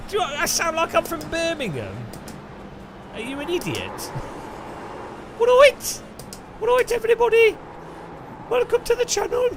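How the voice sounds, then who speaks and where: A man with an English Midlands accent, commentating a sim racing live stream with jokey, animated banter and sudden high-pitched outbursts. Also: normal speed, gravelly, pauses often, background noise.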